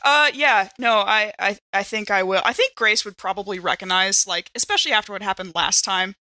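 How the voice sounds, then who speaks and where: A woman's voice: medium pitch, fairly quick, sharp tone, no room sound. A woman playing a tabletop game on a podcast, chatting casually and playfully about her character.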